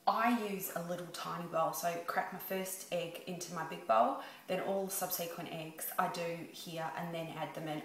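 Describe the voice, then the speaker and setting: A woman, speaking quietly and chattily as she explains a baking recipe at home. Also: low-pitched, reverberant, a little hiss or hum, low-quality recording. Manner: quiet, chatty and self-deprecating. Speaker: home baker. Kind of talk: baking tutorial. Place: home kitchen.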